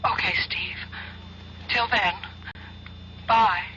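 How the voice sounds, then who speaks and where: An actress playing an anxious, affectionate love interest in a 1970s radio drama, earnest and breathless. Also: female voice, medium pitch, extremely slow, bright, forceful tone. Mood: worried, relieved. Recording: muffled, faintly noisy old radio broadcast.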